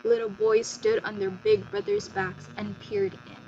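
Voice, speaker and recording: A woman's voice: medium pitch, little pitch movement, measured pace. A woman telling a scripted folktale as narrator with a dramatic storytelling delivery. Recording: muffled lo-fi, faint hum.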